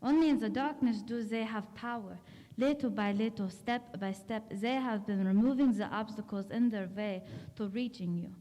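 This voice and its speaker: Grave lady investigator , acted by a woman, radio mystery: brusque authority, deliberate phrasing, somewhat high pitch. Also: mellow, natural voice. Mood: grave, commanding. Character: commanding, grave woman doctor investigating the supernatural. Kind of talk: radio drama acting. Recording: long hall-like reverb.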